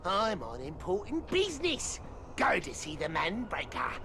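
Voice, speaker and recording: A self-important orc messenger in a fantasy game. He blusters and brags in a high, gravelly voice that swings about with slow, bellowed emphasis. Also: wildly swooping pitch, noisy background, heavily acted. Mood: boastful, urgent. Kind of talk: video game cutscene acting.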